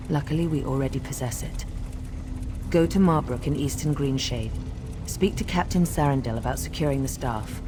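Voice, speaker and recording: A regal elven queen in a fantasy video game. Her voice is low and stately, with formal, measured phrasing and solemn resolve. Music plays behind her. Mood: solemn, hopeful. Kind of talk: scripted fantasy game voice acting.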